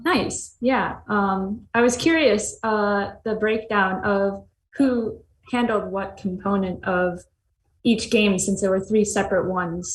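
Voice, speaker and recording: A woman asking casual questions as the interviewer on a panel. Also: medium pitch. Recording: echoey room with faint background noise.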